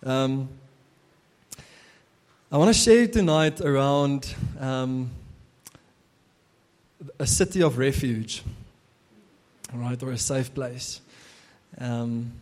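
A man's voice: mid-range voice, darkish tone. Afrikaans-native man preaching with fillers. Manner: casual, hesitant with many fillers and restarts. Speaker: pastor. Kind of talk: sermon.

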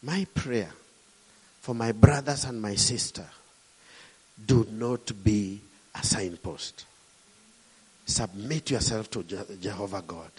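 A man's voice: on the low side, husky, low-quality recording. A middle-aged man from Kenya with a Kenyan accent, preaching a sermon with emphatic, rising and falling delivery.

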